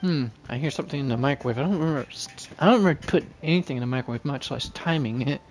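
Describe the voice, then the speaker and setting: A man hosts a casual game livestream with music playing behind him. His voice sits at a high-middle pitch as he reads dialogue aloud in a halting way, correcting himself and adding offhand commentary.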